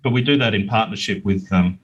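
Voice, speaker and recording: A man's voice: fairly low pitch, fast, highs cut off, slight background noise. A middle-aged Australian man answering interview questions in an earnest, plain-spoken, conversational way. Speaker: interview guest, small business owner.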